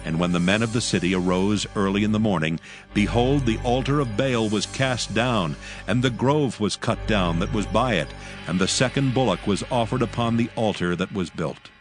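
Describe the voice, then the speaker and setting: A man reads scripture as the narrator of an audio drama. His voice sits fairly low, and the delivery is formal and measured, with soft music behind.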